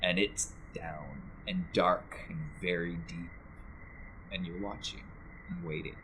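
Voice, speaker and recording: A man's voice: sits low, fairly even intonation, slow, bright, forceful tone, poor audio. A man acting as game master, narrating and refereeing a tabletop role-playing game with a theatrical touch. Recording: faint background noise.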